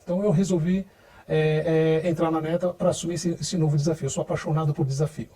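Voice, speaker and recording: Middle-aged male interview guest, dark mellow tone, slightly high pitch, measured and hesitant, mic a bit distant. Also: steady tone. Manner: measured, hesitant, with repeated words. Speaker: guest, sales director. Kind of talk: interview answers.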